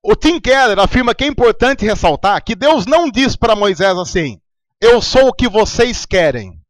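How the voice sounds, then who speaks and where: A Brazilian man preaching a sermon in a relaxed, conversational way, telling stories from his church. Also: sits high, moderate pace, no echo, studio quality. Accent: Brazilian Portuguese. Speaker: pastor.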